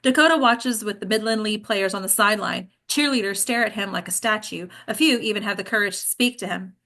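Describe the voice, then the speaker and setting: A woman's voice: medium pitch, a little fast, bright, forceful tone. A woman reading scripted stage directions as narrator at a table read.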